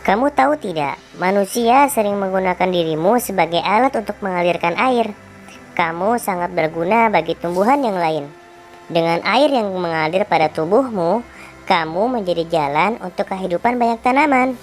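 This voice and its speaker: A male teacher voicing a gentle, wise female rose flower in a falsetto while reading a children's fable. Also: expressive, moderate pace, faint music behind. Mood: kindly explaining. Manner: falsetto, kindly and explaining.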